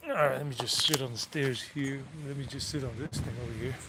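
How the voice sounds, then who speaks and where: A man's voice: mid-range voice, fairly even intonation, quick pace, heavy background noise. A man vlogging from outdoors on a mountain property, chatting loosely, drifting off topic and correcting himself as he goes. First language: English. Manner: loose and digressive, repeats figures, self-corrects. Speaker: travel vlogger. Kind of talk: rambling casual livestream chat.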